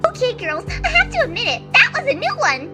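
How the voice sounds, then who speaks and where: A hyperactive cartoon pony mare, with an extremely high, squeaky voice. She is giggly and cheerful with exaggerated reactions and huge swings in pitch, over prominent music. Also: huge pitch swings, fairly quick, full character voice. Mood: forced cheer. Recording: music behind the voice.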